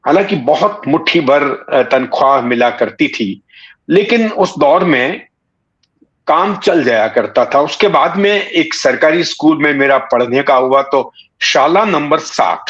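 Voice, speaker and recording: A man in his sixties from Bikaner in Rajasthan, reminiscing in an interview with halting, deliberate phrasing. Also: a little high, expressive, fairly bright tone. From Bikaner, Rajasthan, India. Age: 60s. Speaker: interview guest, writer and satirist. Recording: dull, muffled lo-fi audio.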